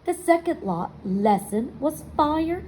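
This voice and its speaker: Woman, mellow and slow-paced, for an expressive children's read-aloud with asides to the listeners; faint noise behind. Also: high-pitched, rough recording. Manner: expressive storytelling, pausing to ask listeners questions. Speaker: storybook reader.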